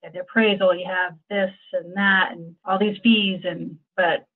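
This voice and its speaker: A woman's voice: on the low side, steady tone, normal speed. An American woman giving conversational expert explanations as an insurance agent, in a chatty, agreeable, informal way. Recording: thin, phone-like call audio.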